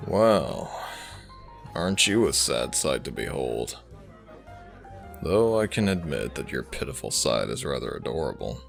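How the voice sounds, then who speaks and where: A man performs a cocky male werewolf of a rival pack in an audio drama. He is low and gravelly and takes his time. His delivery is smug, flirtatious and theatrical, made to needle. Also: big pitch swings, measured pace, pauses often, soft background music, heavily acted. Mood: smug teasing. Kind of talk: audio roleplay drama acting.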